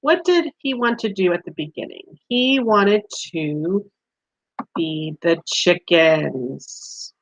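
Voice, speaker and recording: A woman's voice: on the low side, lively intonation, takes it slowly. A woman teacher leading a read-aloud quiz for young children, asking simple questions and giving encouragement in a slightly dull, lo-fi recording.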